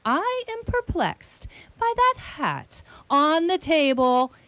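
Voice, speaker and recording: A grumpy, conceited detective in a comic radio play. The delivery is pompous and dryly sarcastic, swinging widely in pitch, and the voice is slightly high and closely, drily recorded. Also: female voice, big pitch swings, slow, fairly bright tone, dry recording, exaggerated voice. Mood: haughty, preoccupied. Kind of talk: comic radio theatre acting.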